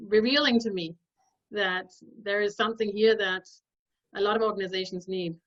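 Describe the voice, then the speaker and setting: Woman from Denmark, mid pitch, relaxed consultant talking on a muffled video call. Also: normal speed. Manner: relaxed, conversational, frequent fillers.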